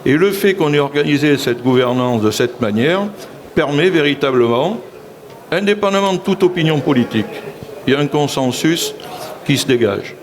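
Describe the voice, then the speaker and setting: A man's voice: a little high, animated, normal speed, noisy background. A man, a local elected official, speaking assertively in a public panel talk and often saying "si vous voulez".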